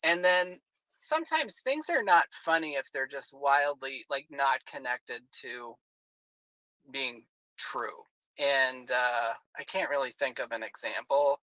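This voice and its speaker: A man's voice: medium pitch, slow, pushed and bright, no room sound, muffled recording. A man in his late forties chatting casually on a podcast, rambling with lots of fillers. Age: 40s. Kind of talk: casual chat.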